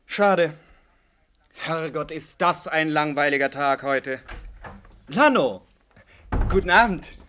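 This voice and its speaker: A man's voice: on the high side, slow pace, long pauses, pushed and bright. An actor playing a restless, irritable young male student in a German radio play, brusque and moody. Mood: bored, dismissive.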